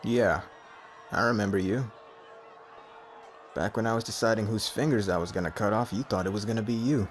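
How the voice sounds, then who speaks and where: A man's voice: on the low side, a little fast, pauses often, a little hiss or hum, natural voice. A man playing a cocky, teasing gang leader in an ASMR roleplay, smug and fearless, with a flirtatious streak. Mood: cold, menacing.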